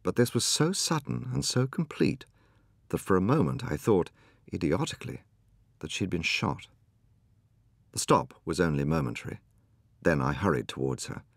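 Deep, gravelly narrator. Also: male voice, very animated, normal speed, long pauses, no room sound, studio quality. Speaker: audiobook narrator. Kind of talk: scripted first-person narration.

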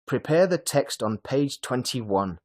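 Man as an emphatic woman schoolteacher, raised pitch. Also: animated, slow. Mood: indignant. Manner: emphatic, exclamatory classroom address. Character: gullible, kind-hearted German woman schoolteacher, fussily indignant.

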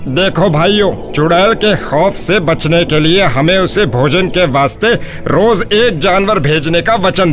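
A man playing a solemn village elder in an animated Hindi horror story. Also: a little high. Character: solemn village headman or self-sacrificing elderly father.

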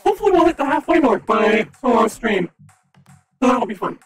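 A man streaming a game live who chats casually and upbeat to his viewers, with a slightly raspy voice. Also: extremely high pitch, very animated, brisk, over music. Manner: chatty, upbeat, talks to chat.

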